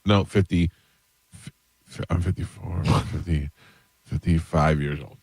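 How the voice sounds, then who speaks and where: A man's voice: deep voice, steady tone, unhurried, darkish tone, husky, no room sound. A man in his fifties co-hosting a radio show, talking in a wry, matter-of-fact way. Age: 50s. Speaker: radio co-host. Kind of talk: casual radio banter. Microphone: studio microphone.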